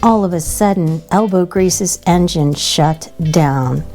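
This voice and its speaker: A woman reading a children's picture book aloud as expressive narration. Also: lowish voice, slow pace, soft background music. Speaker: children's storybook reader.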